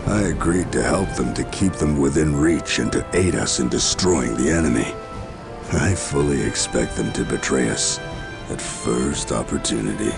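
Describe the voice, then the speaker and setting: A stern military commander from a video game cutscene. The voice is rumbling and extremely deep, lively in its rise and fall, proud and resolute over music. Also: male voice, exaggerated voice. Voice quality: very deep, gravelly. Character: stern, zealous, distrustful male human military commander.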